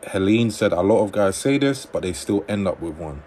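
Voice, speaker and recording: A man hosting a livestream on dating advice, reading viewer comments aloud and answering them in a relaxed conversational way. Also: deep voice, brisk, slight background noise.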